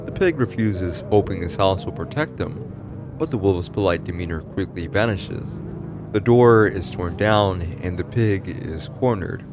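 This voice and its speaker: Low-pitched man narrating over prominent music. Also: lively intonation, a little slow. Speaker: narrator of a horror story explainer. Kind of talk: scripted narration. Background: music behind the voice.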